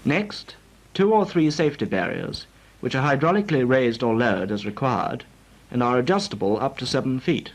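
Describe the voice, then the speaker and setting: An actor playing a formal, precise British naval flying instructor lecturing trainees in a 1940s instructional film. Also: male voice, medium pitch, animated, normal speed. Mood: formal, instructive. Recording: noisy, crackly 1940s film soundtrack.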